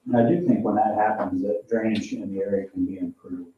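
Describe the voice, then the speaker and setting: A man's voice: on the low side, steady tone, a little fast, a little hiss or hum. A man giving an informal technical explanation at a board meeting. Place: echoey meeting room, picked up from afar. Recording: roomy, distant, muffled meeting audio.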